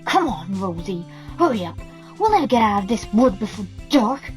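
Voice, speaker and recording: A woman's voice: mid-range voice, big pitch swings, normal speed, faint music behind, natural voice. A woman voicing a bouncy, impatient boy puppet in a children's puppet play. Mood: hurried.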